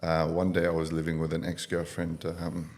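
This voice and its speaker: A man from Iraq in his thirties, a guest on a podcast, telling his life story in a relaxed way with many fillers. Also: low voice, moderate pace. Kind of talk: casual interview talk.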